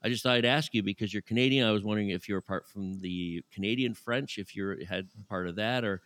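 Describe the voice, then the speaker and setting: A man's voice: fairly low pitch, brisk, a bit bright, no room sound. A man co-hosting a podcast, chatting casually and asking inquisitive questions. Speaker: podcast co-host. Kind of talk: casual chat.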